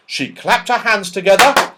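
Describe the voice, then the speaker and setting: A man reading a children's story aloud as scripted narration, in an expressive, dramatic storytelling manner. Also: high-pitched, normal speed. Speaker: audiobook reader.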